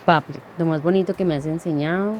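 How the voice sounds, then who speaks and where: A woman's voice: lowish voice, steady tone, fast talker, slight background noise. A woman speaking a sincere, slightly hesitant recorded message to her brother. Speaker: sister of the guest.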